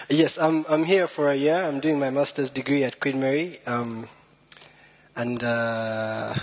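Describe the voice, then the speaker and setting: A man's voice: medium pitch, fairly even intonation, takes it slowly, fairly bright tone, husky. A young man from Rwanda, a little nervous, giving a chatty, joking talk full of puns and self-deprecating humor. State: a bit nervous. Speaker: guest speaker. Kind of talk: informal storytelling talk.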